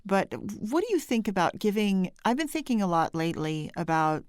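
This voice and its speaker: A woman from California hosting an interview, chatty and often laughing, with some vocal fry. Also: mid-range voice, sing-song, normal speed, no room sound, clean studio sound. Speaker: podcast host. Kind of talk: conversational interview.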